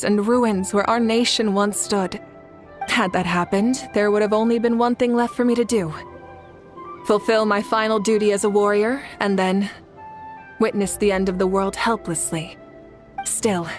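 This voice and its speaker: Actress as resolute female leader, mid-pitched, measured, solemn, respectful, video game drama, background music. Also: close to an everyday voice. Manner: formal, measured, earnest.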